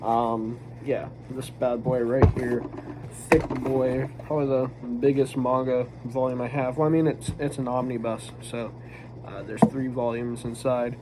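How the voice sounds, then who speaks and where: A man's voice: mid-range voice, level tone, measured pace. Congested, nasal man rambling through a review. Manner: rambling, hesitant, often restarting. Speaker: manga reviewer. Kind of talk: casual video review. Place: home room with a squeaky office chair. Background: faint background noise.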